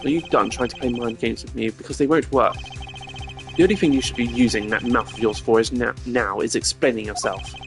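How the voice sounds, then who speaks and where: A man voicing a proud, stern male prosecutor in a video game, speaking in formal, measured accusations. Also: mid-range voice, fairly even intonation, creaky, over music, lightly acted. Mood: stern, accusing.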